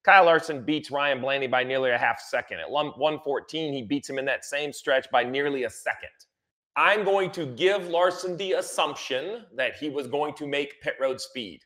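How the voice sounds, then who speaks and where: A man's voice: medium pitch, normal speed, sharp tone. A man giving conversational motorsport analysis, emphatic and repeating phrases to drive points home.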